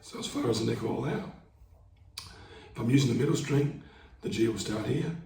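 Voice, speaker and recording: Hoarse man explaining guitar, laid-back. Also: mid-range voice, moderate pace, far from the mic. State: hoarse, losing his voice. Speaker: market busker teaching guitar. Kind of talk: casual instructional talk. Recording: roomy sound, away from the mic, guitar playing behind.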